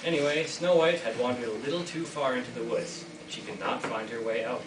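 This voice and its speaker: A man's voice: mid-range voice, brisk. Teen boy narrating a school play, echoey. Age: teens. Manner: playful, jokes with the audience. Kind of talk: reader's theater narration to a live audience. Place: school classroom or hall. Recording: echoey room from far off, noisy.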